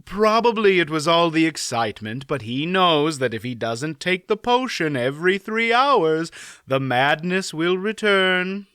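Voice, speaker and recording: A man's voice: fairly high pitch, big pitch swings, a little slow, sharp tone, clean tone, dry recording, clean studio sound, voice pushed up, exaggerated voice. A man doing a sly matter-of-fact aunt. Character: shrewd, dry-witted older aunt, woman.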